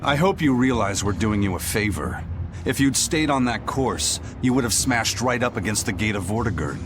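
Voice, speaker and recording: An actor playing a grim, aloof pirate first mate in a video game, speaking in a curt, stoic, dry manner. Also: male voice, lowish voice, animated, normal speed, close to an everyday voice. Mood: menacing calm. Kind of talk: English video game dub acting. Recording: loud music behind voice.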